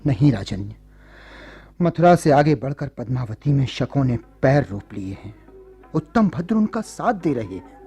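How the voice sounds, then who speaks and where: Solemn ancient statesman in a radio play, creaky voice, slow, faint music. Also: mid-range voice, big pitch swings, dark tone, full character voice. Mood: grave, resolute. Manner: measured, solemn, declamatory. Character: grave, resolute noble statesman uniting ancient republics. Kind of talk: Hindi radio drama acting.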